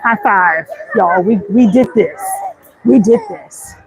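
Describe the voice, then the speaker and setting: A woman's voice: medium pitch, slow pace, rough recording. An American woman hosting a casual walking livestream, chatty and enthusiastic, slangy in the way she addresses viewers. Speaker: vlog host. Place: walking outdoors in a busy theme park. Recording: live outdoors with clear background noise. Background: theme park ambience.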